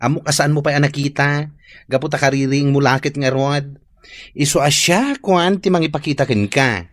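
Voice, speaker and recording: Gossipy young Filipino friend, dry sound. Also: female voice, low voice, normal speed, dry recording. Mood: agitated. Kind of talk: Ilocano radio drama acting.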